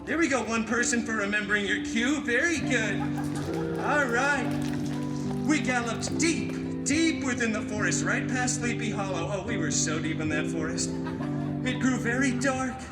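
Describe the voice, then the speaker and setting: A high-voiced, vain and wisecracking masked antihero, acted out by a man hosts a live comic story time. The delivery is hammy, moving between grand storybook drama and sarcastic asides. It rings with echo, and loud music plays behind. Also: moderate pace. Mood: theatrical, suspenseful. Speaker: theme park character performer telling a story to children. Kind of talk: theatrical live comic storytelling with crowd banter. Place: live theme park stage show.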